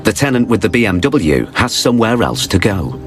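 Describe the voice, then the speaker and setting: A man narrating a documentary in a measured, grave tone. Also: lowish voice, sing-song, music in the background. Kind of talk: scripted narration.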